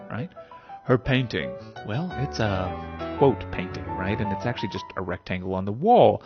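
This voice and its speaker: Animated man lecturing, music behind. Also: lowish voice, vocal fry. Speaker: host of a philosophy talk series. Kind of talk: explanatory philosophy monologue, conversational asides. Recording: dull lo-fi sound.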